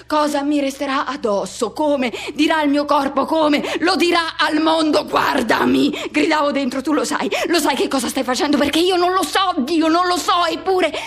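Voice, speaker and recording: A woman performing a radio theatre monologue as an anguished, pleading lover recalling a forbidden teenage love, in urgent, halting phrases that insistently repeat. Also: sits high. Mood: feverish, urgent.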